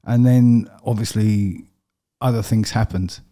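A middle-aged man from London with a London accent, a podcast guest telling his story plainly, with steady fillers. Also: lowish voice, measured pace. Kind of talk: personal interview. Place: podcast studio with brick wall. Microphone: studio desk mic with headphones.

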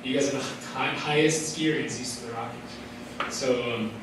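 A man's voice: medium pitch, moderate pace. A man in his thirties giving a conference presentation, casual and enthusiastic, with many fillers and restarts. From Colorado. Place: large hall with an audience. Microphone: podium mic, filmed from far back. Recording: echoey hall from far off, noisy.

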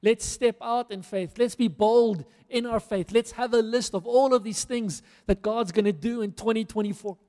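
Fervent high-voiced male preacher in a hall. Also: moderate pace, hardly any pauses, dark tone. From South Africa. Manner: earnest, rousing preaching with emphatic repetition. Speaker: pastor. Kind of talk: sermon. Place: church stage in a large hall. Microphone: handheld microphone. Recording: long hall reverb.

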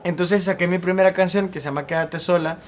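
A young man in his twenties with a Salvadoran accent, a singer telling his story at length in a relaxed interview on an outdoor patio. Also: high-pitched, fast. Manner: earnest, talks at length with ease. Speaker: singer and guest. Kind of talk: casual interview.

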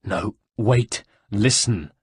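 A man's voice: fairly low pitch, lively intonation, normal speed, husky, no room sound, studio quality. A man voicing a courteous, insistent Italian adventurer in a storybook reading, speaking earnestly. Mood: urgent pleading. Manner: earnest, polite, reassuring.

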